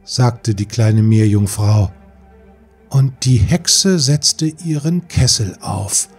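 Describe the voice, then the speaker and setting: A man with a breathy, husky voice giving a measured, solemn narration of a fairy tale for an audiobook. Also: mid-range voice, darkish tone, faint music behind.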